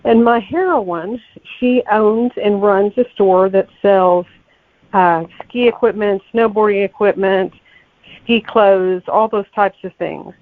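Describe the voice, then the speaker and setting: A woman's voice: medium pitch, slow, no room sound. A woman guest, a novelist, chatting casually in an interview and thinking aloud over a narrow, phone-like connection. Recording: tinny, phone-like, narrow-band line.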